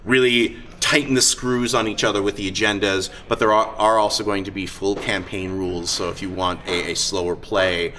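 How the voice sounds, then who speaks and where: A man hosting a live event as game master, talking off the cuff in a halting way and often repeating words. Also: lowish voice, little pitch movement, bright, forceful tone. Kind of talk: unscripted talk to a live audience. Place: busy gaming convention. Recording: live convention hall, heavy crowd noise.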